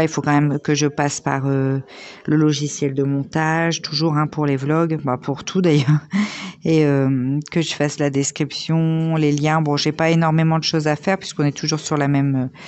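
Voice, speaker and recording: A slightly hoarse woman in her thirties, chatting casually with lots of fillers as she works on a diamond painting at home. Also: low-pitched, steady tone, measured pace, darkish tone. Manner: chatty, rambling, many fillers. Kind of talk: casual chat while crafting.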